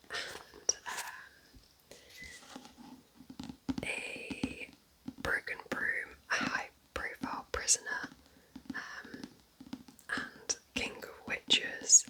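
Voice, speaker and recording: Woman whispering softly in chatty ASMR style. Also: rough recording. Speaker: ASMR host reviewing books.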